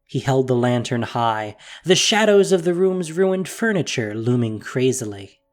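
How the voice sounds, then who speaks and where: A man narrates a fantasy tale in a mid-pitched voice that rises and falls with animated expression. His reading is scripted and paced for storytelling. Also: a little slow, soft background music.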